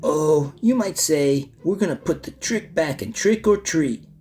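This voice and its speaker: A man's voice: on the high side, lively intonation, a little slow, a little mellow, soft background music, voice pushed up. A man voicing a mischievous, goading young bully bear, leader of a gang, in a children's storybook. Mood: taunting. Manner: goading, sly. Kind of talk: children's storybook read-aloud.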